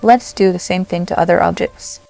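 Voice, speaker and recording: Low, creaky woman narrating a tutorial. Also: fairly even intonation, moderate pace, darkish tone, soft background music. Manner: short, simple instructional phrases. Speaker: software tutorial host. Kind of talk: step-by-step software tutorial narration.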